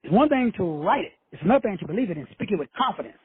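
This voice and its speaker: A man's voice: sits high, sing-song, rapid-fire, slightly rough, dry recording. A man from Texas hosting a call-in show, preaching profane motivation with swagger and slang. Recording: tinny phone line, dry.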